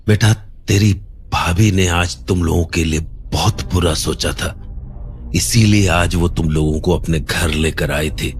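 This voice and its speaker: A man voicing an older village uncle in a cartoon, a calm devotee who patiently reassures the family. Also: deep voice, unhurried. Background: faint background music.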